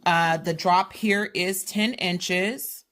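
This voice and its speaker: A woman with a slightly low voice talks through her purchases in a chatty, detailed way. She has a slight cold and sniffles now and then, and she often stops to correct herself. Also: fairly even intonation, very slow, a bit bright. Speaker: haul vlogger. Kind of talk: casual product haul walkthrough.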